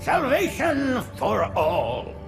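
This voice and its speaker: High, creaky ancient alien prophet. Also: male voice, wildly swooping pitch, drawn-out words, exaggerated voice. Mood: fervent, reverent. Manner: grandiose, reverent preaching. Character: ancient, frail, fervent alien holy prophet. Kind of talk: cinematic video game acting. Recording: music behind the voice.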